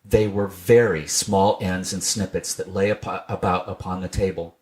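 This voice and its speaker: A man's voice: deep voice, steady tone, normal speed, a little mellow. A man reading a children's tale aloud as scripted storybook narration. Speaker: storybook reader.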